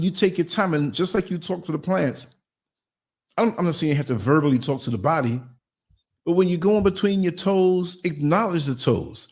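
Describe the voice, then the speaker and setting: A man hosting a live online show, talking in a relaxed, chatty way. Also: a little high. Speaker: podcast host. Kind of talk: casual live-stream talk.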